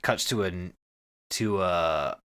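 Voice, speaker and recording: A man hosting a podcast, giving a casual, profanity-laced story recap to his co-hosts in an animated way. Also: deep voice, slow pace, clean tone. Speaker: podcast host.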